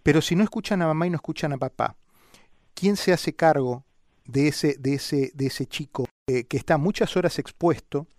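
Radio host, dry studio sound. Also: male voice, a little high, normal speed, a little mellow, dry recording. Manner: musing, long winding questions with hesitations. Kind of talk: radio interview hosting.

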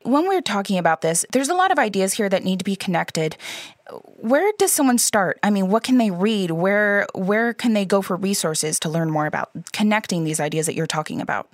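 A woman interviewer asking measured, thoughtful questions. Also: medium pitch, lively intonation, no echo, studio quality.

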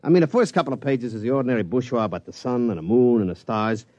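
A man's voice: mid-range voice, fast talker, darkish tone, no room sound, highs cut off, lightly acted. An actor playing a chatty, streetwise henchman of a gambling boss, rambling in slangy bad grammar in an old-time radio comedy. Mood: chatty, impressed.

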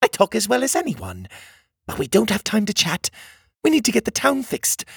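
A bossy little mechanical girl made of gears, scolding and impatient, with a high, jumpy voice that swings all over, as a male narrator puts her on. A husky, gravelly edge runs under it, and the reading is close and dry. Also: huge pitch swings, moderate pace, a bit bright, dry recording, exaggerated voice. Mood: urgent. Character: small bossy mechanical cog girl, feisty. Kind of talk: storybook character voice.

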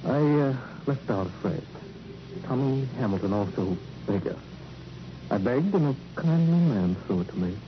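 An actor playing a destitute Skid Row beggar, poetic and mournful, speaking in halting fragments in a radio crime drama. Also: male voice, medium pitch, lively intonation, background noise, natural voice. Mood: evasive, dreamy. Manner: halting, fragmentary, brooding phrases. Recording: old radio drama recording, dull, music behind.

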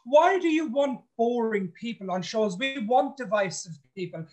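A man talks in a casual interview, outspoken and blunt. He speaks with animated energy and swears freely. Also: extremely high pitch, moderate pace. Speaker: reality show contestant.

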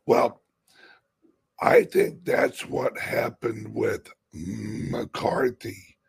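Raspy, gravelly male host, slow and rambling, lively pitch swings. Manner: emphatic, rambling with restarts. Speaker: crypto show host. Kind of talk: casual political chat.

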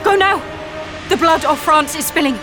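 A woman's voice: high voice, normal speed, natural voice. An actress playing a devout, resolute teenage peasant girl who leads an army, in an earnest audio drama style. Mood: urgent, commanding. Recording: dramatic music behind the voice.

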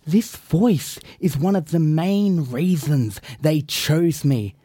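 A man's voice: a little high, animated, slow pace, warm tone, breathy edge, dry recording, studio quality. A man on a podcast, boasting arrogantly as a wrestling persona. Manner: boastful, arrogant, self-aggrandizing. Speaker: wrestler guest in character.

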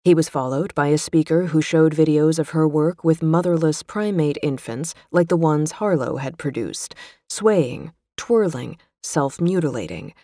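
Woman narrator, low and mellow, measured literary read, dry close mic. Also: dry recording. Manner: measured, even, literary delivery. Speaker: narrator reading a magazine feature. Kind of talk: scripted narration.

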